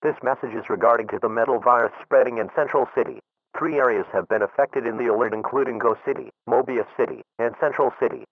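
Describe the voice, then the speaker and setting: A man's voice: mid-range voice, quick pace, creaky, long pauses. A man giving a scripted emergency announcement in a formal, impersonal way. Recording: narrow phone-like radio audio.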